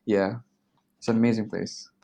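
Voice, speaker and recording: Low-pitched young man, casual and slangy. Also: flat delivery, moderate pace, creaky, dark tone. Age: 20s. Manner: informal, slangy, swearing. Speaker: interview guest. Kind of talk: casual interview chat.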